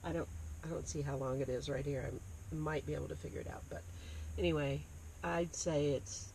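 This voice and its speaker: A woman's voice: deep voice, fairly even intonation, moderate pace, a little mellow, poor audio. A woman from Appalachia chatting casually as a co-host about books, in an easygoing, agreeable manner. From Appalachian United States. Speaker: library podcast co-host. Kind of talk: casual book chat. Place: parked library bookmobile. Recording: clear background noise inside vehicle.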